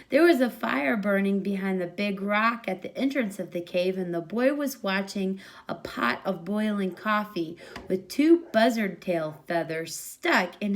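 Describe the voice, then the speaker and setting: A woman reading a short story aloud as expressive narration, with a medium-pitched voice. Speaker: story reader.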